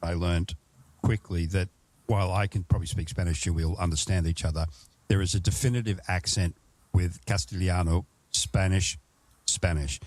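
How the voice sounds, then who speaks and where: Australian male host, very low voice, dry close mic, rambling casual questions. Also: fairly even intonation, moderate pace, darkish tone, dry recording. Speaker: podcast host. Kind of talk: casual interview chat.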